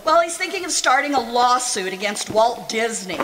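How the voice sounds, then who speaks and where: A patient, teasing wife from a vintage radio comedy, played by a woman. Her voice sits a little high, over faint noise in a live recording. She is wry and homely in her delivery. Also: lively intonation, moderate pace, natural voice. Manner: dry teasing, homely endearments. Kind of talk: 1940s radio comedy acting, live re-creation. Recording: slightly noisy live stage recording.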